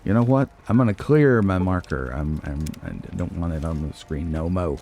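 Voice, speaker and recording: Deep-voiced man musing through a video game. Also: expressive, moderate pace, a little mellow, faint music behind. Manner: musing to himself, self-deprecating. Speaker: gaming video host, let's player. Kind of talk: casual game playthrough commentary.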